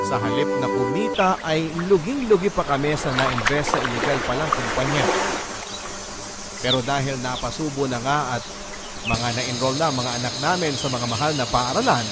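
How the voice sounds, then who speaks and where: A man reading a first-person scripted story as the narrator of a radio drama. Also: medium pitch. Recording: loud music under the voice. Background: loud background music.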